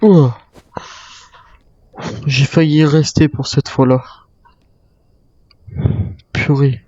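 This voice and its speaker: Mellow-voiced man narrating survival gameplay, dull mic. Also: fairly high pitch, fairly even intonation, drawn-out words. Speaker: gamer roleplaying a zombie-island survivor. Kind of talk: in-character gameplay narration, improvised.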